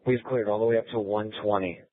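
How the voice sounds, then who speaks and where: A man's voice: lowish voice, fairly even intonation, fast, dry recording. A man speaking as a police officer, giving status updates over police radio. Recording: tinny narrow police scanner radio.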